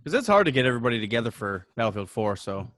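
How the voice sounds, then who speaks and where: A man's voice: medium pitch, big pitch swings, a bit bright, dry recording, faint music behind. A man co-hosting a casual podcast, chatting with friends and laughing easily. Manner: chuckly, quick to laugh. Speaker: podcast co-host. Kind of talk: casual chat among friends.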